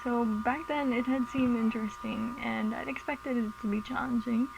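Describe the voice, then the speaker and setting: A twenty-two-year-old woman gives short, plain answers. Her voice sits a little high and has a gravelly fry. The recording is dull and lo-fi, with faint noise behind. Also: fairly even intonation, normal speed. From Philippines. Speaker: fan base admin. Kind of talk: interview answers.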